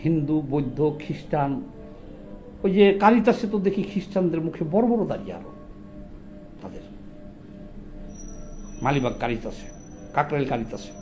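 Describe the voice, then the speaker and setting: A man's voice: fairly high pitch, big pitch swings, normal speed, pauses often, warm tone, faint music behind. A man with a Bangladeshi accent giving informal video commentary, indignant and argumentative, posing rhetorical questions in colloquial speech. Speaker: social commentator.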